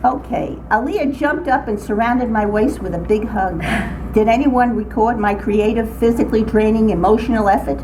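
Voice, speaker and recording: A woman's voice: on the high side, normal speed. An older woman reading a humorous personal essay aloud in a theatrical, comic storytelling manner. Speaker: writer reading her own account to a writing group. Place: indoor group meeting room. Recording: noisy room recording, background ambience.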